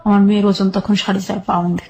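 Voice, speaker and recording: A woman with a Bangladeshi accent shares a personal story in an interview. Her voice is dark and soft-edged, sitting at an ordinary female pitch. She goes step by step in halting detail, often repeating a phrase. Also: level tone. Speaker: guest telling her life story.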